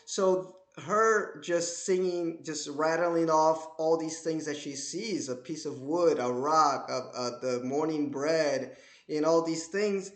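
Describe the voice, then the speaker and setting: A man hosting an interview, asking questions and talking about songs in an animated, enthusiastic way. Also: on the high side, takes it slowly, roomy sound. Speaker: podcast host. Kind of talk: casual interview.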